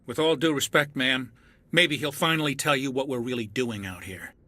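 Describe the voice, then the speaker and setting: An actor playing a wary naval officer in a video game, voicing doubts about the mission. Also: male voice, mid-range voice, normal speed, pushed and bright, dry recording. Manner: suspicious, questioning. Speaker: ship crewman.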